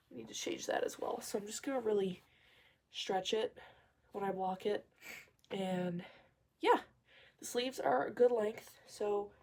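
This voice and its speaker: A woman's voice: medium pitch, creaky, darkish tone. Congested young woman chatting about knitting. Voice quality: nasal. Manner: chatty, many fillers and restarts. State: congested and nasal. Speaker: crochet and knitting vlogger. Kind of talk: casual vlog chat.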